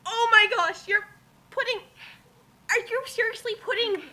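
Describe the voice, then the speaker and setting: A woman bantering casually and reacting excitably during a group reading, full of exclamations. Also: extremely high pitch, normal speed. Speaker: commentator in a dramatic fanfic reading.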